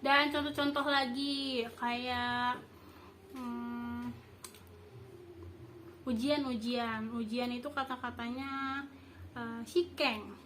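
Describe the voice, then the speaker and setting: A young woman giving an informal language lesson, explaining haltingly and often correcting herself as she goes. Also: a little high, flat delivery, fairly bright tone, slight background noise. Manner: halting, improvised explaining with frequent self-corrections. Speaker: host teaching basic Japanese.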